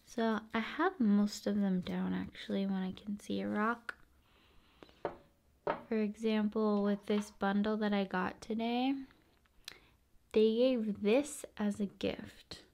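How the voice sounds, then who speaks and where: A woman speaks very slowly in a soft ASMR style, with a strongly creaky edge to her voice. Her pitch sits in a middle range for a woman. She talks casually in short phrases with pauses, often dropping toward a whisper. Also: steady tone. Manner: unhurried, pausing between short phrases. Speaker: ASMR video host. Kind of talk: ASMR, casual spoken musings.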